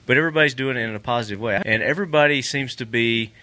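Upbeat bright male podcast host. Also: mid-range voice, very fast. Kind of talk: casual interview. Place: trade show.

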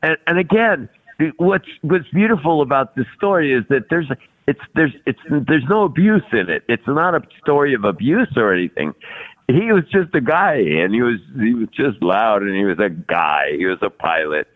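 A man's voice: medium pitch, big pitch swings, moderate pace. Rambling man in his sixties, tinny line. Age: 60s. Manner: rambling, repeats words, laughs often. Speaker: podcast guest. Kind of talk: casual interview conversation.

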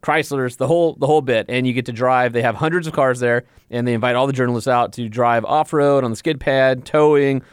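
A man's voice: mid-range voice, a little fast, hardly any pauses, smooth, no echo, studio quality. Teasing man co-hosting a podcast. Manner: teasing, upbeat. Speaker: podcast co-host, auto journalist. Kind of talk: casual podcast chat. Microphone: headphones and studio mic.

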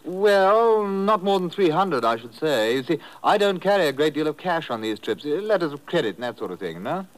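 A prim, moneyed collector of rare books with a fairly high voice. He is hesitant and affectedly genteel, in the manner of 1950s radio drama. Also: sing-song, normal speed, few pauses, low-quality recording. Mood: genteel, evasive. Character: prim, wealthy male book collector. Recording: old radio recording.

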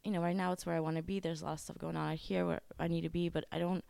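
A young woman from Ukraine who grew up in the Bay Area, a podcast guest chatting easily and fast, full of "you know.". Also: on the low side, level tone, vocal fry, mellow, smooth, no room sound. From Ukraine, raised in the San Francisco Bay Area. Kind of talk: casual interview chat.

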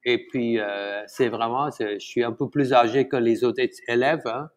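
Halting middle-aged Malaysian-born man. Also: mid-range voice, steady tone. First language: Tamil. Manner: halting, many fillers and restarts, chuckles often. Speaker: chef, interview guest. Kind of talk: casual conversational interview.

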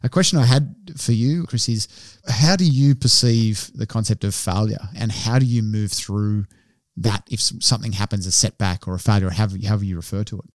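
A man's voice: fairly low pitch, steady tone, brisk, dry recording, studio quality. A man co-hosting a podcast who talks through long, reflective points and then puts questions to his guest. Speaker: podcast co-host. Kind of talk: conversational podcast interview.

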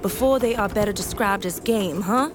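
A woman's voice: mid-range voice, moderate pace, background noise, lightly acted. A woman playing an exasperated, formal officer in an audio drama, articulate and wry with clipped politeness. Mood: flustered politeness.

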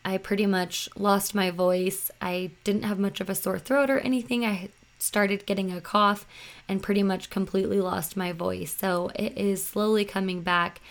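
A woman in her thirties hosting her podcast, slightly hoarse after losing her voice, talking in a chatty, earnest and self-reflective way. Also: medium pitch, steady tone, normal speed. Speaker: podcast host. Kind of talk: solo podcast talk.

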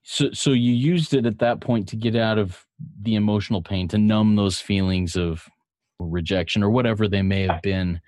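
Low-voiced, mellow male podcast host. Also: normal speed. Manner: earnest, encouraging. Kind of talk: scripted podcast intro, then casual interview.

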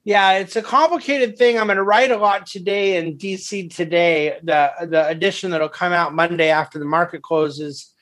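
A man with a slightly higher pitched voice talks through markets on a conference call. He thinks aloud, hedges his views and often restarts sentences. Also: steady tone, normal speed, few pauses, a bit bright. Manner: thoughtful, hedging, many restarts. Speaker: investment firm head and market commentator. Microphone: phone or conference call line.

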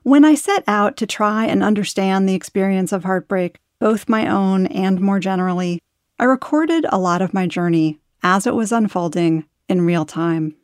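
A woman's voice: medium pitch, moderate pace, clean tone, no echo, clean studio sound. A middle-aged woman reading her own first-person audiobook as a scripted narration. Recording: guitar music under narration.

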